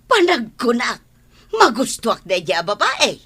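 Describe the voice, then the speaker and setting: A woman acts a doting mother in a village radio comedy. Her voice is fairly high and bright, with an exclamatory delivery toward her grown son. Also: wildly swooping pitch, unhurried, slightly rough, heavily acted. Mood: eager, teasing. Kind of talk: Ilocano radio comedy drama acting.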